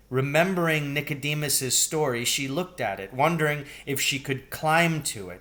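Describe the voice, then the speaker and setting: A man's voice: medium pitch, bright, forceful tone. A middle-aged man reading a children's novel aloud as a storybook narrator. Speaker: storybook reader, teacher. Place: indoors, large living room.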